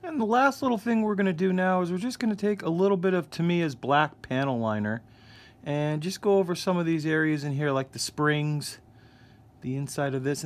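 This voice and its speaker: A man hosting a casual scale-model painting tutorial, explaining each step in a relaxed way. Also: a little high. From United States. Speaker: hobby shop host. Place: hobby workbench indoors.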